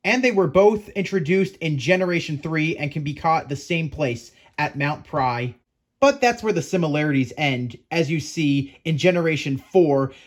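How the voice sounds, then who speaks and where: A man with a fairly high voice hosts a scripted video for fans. He speaks straight to the viewers in a playful, theatrical way, as a presenter playing up a professor persona. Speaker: host of a Pokémon video series.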